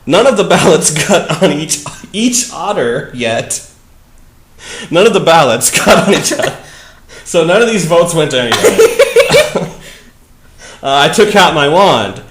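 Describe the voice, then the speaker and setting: A man doing a comedic dramatic reading of bad fan fiction, with deadpan asides and casual, giggly banter. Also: a little high, unhurried, echoey room, slight background noise.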